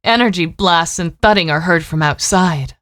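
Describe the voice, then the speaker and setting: A woman's voice: on the low side, normal speed, noisy background, natural voice. A woman narrating an audio drama, reading scripted scene descriptions in a composed way.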